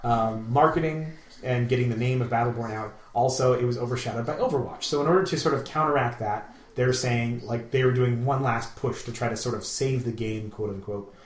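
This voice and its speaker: A man's voice: medium pitch, brisk, hardly any pauses. Enthusiastic guy chatting in an echoey room. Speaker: podcast co-host. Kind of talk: casual hobby podcast chat about games. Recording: roomy echo, faint background noise.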